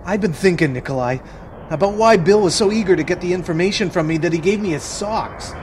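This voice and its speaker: A man's voice: high voice, fairly quick, a little hiss or hum, close to an everyday voice. A man playing Monty, a paranoid, anxious young man who also narrates the story. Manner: jittery, anxious. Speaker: narrator and lead character. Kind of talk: amateur audio drama.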